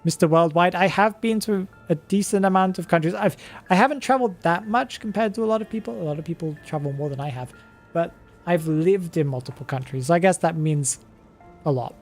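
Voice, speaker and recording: A streamer from the UK with a British accent, chatting casually on a live stream, reading viewer comments aloud, chuckling often and thinking out loud. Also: medium pitch, fairly quick, faint music behind. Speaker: game streamer.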